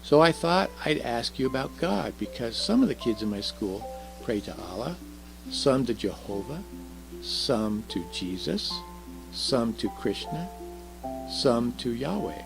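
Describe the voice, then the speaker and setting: A man voicing a curious, earnest little girl in a children's storybook, soft and chatty, asking and explaining eagerly. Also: mid-range voice, very animated, measured pace, vocal fry, music in the background, voice pushed up, low-quality recording. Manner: eager questioning, explaining carefully. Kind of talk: children's storybook read aloud.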